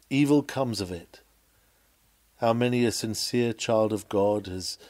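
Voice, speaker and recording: A man reading an old sermon aloud in a measured, earnest, oratorical preaching delivery. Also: mid-range voice, a little mellow. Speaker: reader of a historical sermon.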